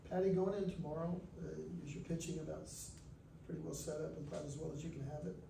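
A man reporter asks casual questions in a gravelly voice. He sounds far away in a reverberant press room. Clear room noise sits underneath. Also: on the high side, level tone, quick pace. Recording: roomy, distant, noisy phone recording.

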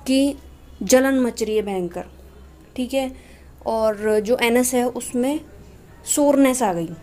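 A woman's voice: fairly high pitch, darkish tone. A woman with an Indian accent teaching a lesson in a conversational way, reading notes aloud and explaining them. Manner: conversational, repeatedly checking with "okay". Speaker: homeopathy teacher. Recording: noisy home-made screen-recording voiceover. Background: clear background noise.

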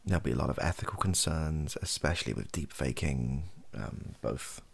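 A British man speaks softly in a very low, husky voice full of heavy vocal fry. His pitch moves around freely as he rambles and hesitates. The delivery is an improvised, self-deprecating ASMR chat. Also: expressive, moderate pace. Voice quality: soft, gravelly, husky. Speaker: video host, ASMR creator. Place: small bedroom office, indoors.

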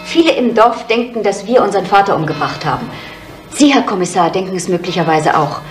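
An actress playing an innkeeper's grown daughter, guarded and resolute, in a 1970s German radio crime drama. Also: female voice, mid-range voice, expressive, a little fast, soft background music, close to an everyday voice. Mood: guarded, firm. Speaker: actor in a crime radio play. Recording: noisy 1970s radio play recording.

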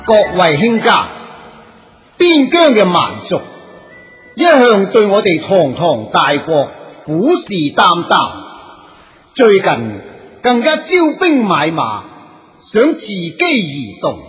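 An actor playing a stately, commanding emperor in a radio drama, with formal, imperious phrasing. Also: male voice, sits high, wildly swooping pitch, takes it slowly, a bit bright, soft background music, exaggerated voice. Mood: stately, deliberating. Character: stately emperor holding court, measured and commanding. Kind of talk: Cantonese radio drama acting. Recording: long hall reverb.

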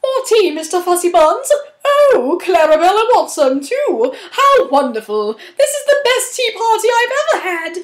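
Woman as a villain gone dainty, squeaky high. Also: big pitch swings, normal speed, roomy sound. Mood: sugary, delighted. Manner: dainty, sing-song, sugary. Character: male villain secretly playing girlish, sugary tea party.

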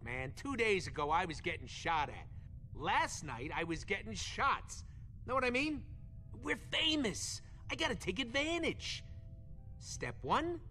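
A man's voice: high-pitched, pushed and bright, exaggerated voice. An actor playing a wisecracking, grumpy adult male raccoon mechanic in a video game, talking in a brash, sarcastic way.

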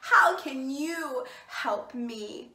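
A woman voicing a proud storybook lion, king of the forest, with a loud, haughty, drawn-out delivery. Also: high-pitched, lively intonation, reverberant, full character voice. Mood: mocking.

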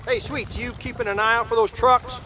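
An actor playing a brash, blunt, simple-minded soldier in a video game. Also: male voice, very high-pitched, fairly even intonation, fairly quick. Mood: cocky.

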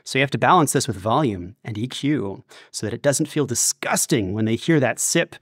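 Male creative guest, mid-pitched, conversational storyteller who slips into quoted dialogue, very dry recording. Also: animated, brisk, dry recording. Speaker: audio drama director and sound designer, interview guest. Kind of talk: casual interview chat.

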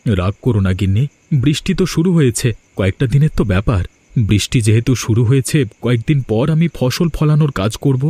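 A man's voice: mid-range voice, animated, fast, warm tone, dry recording, lightly acted. A man voicing a humble, hardworking village husband in a cartoon, speaking in an earnest, explanatory way. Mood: placating. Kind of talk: cartoon dialogue.

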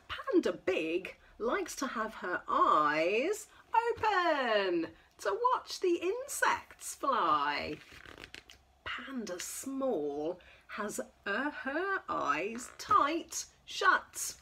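A middle-aged woman with a fairly high voice reads a picture book to little children. Her pitch swoops widely and expressively, and she takes it very slowly, in a sing-song storytime manner. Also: pushed and bright. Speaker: toddler class leader. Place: indoors in front of a backdrop.

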